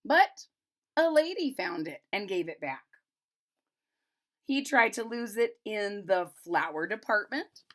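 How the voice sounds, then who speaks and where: A woman reading a children's storybook aloud, pausing to retell and explain it simply for young listeners. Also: medium pitch, very animated, fairly bright tone. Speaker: storybook reader. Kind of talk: children's picture-book read-aloud with retelling in plain words.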